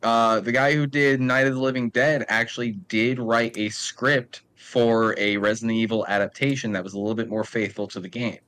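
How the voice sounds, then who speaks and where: Clear, smooth-voiced man in casual chat. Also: mid-range voice, fairly even intonation, normal speed, hardly any pauses, a bit bright. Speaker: podcast participant.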